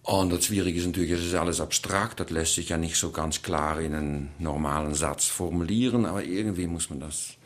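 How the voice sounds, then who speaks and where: A man's voice: deep voice, a little fast, few pauses. A man from the Netherlands with a Dutch accent, a choreographer answering interview questions hesitantly, with many fillers.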